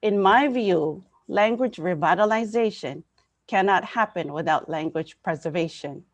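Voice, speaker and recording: A woman's voice: fairly low pitch, unhurried, dry recording. Earnest woman reading testimony, dry sound. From Hawaii. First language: Hawaiian. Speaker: public hearing testifier.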